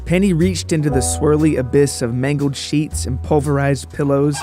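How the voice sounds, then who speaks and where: A man's voice: fairly high pitch, fairly even intonation, moderate pace, warm tone, faint music behind. A man hosting a narrative podcast, talking to listeners in a joking, casual, storytelling way. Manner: joking, rambling, addresses listeners directly.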